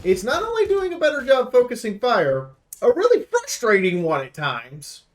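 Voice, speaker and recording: A man giving casual, off-the-cuff commentary as a video game reviewer, talking in an animated, rambling, opinionated way with casual slang. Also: very high-pitched, normal speed.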